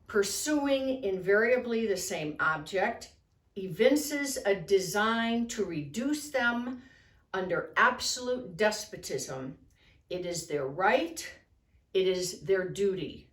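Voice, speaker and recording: A woman giving a formal, deliberate public reading of a historical declaration. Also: medium pitch. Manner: deliberate, measured phrasing. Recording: roomy, echoing sound.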